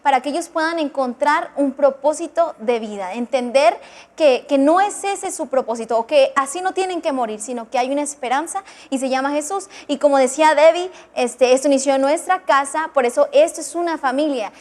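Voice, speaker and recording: A young woman speaks in a high, clear voice. She explains the ministry's mission in an earnest, heartfelt way, as a guest on a church TV program. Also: fairly quick, darkish tone. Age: 20s. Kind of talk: testimonial explanation.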